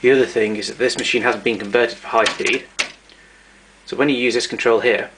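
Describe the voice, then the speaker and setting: A man narrating a casual show-and-tell of his tape recorders, explaining step by step with pauses and fillers. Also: medium pitch, steady tone, fairly bright tone, a little hiss or hum, low-quality recording.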